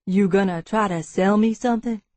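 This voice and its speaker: A woman voicing an easygoing, wryly amused Texan businessman with a drawling baritone in an audiobook. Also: moderate pace, darkish tone, no room sound, close to an everyday voice. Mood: easygoing, offhand. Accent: Texas. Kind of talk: audiobook character dialogue.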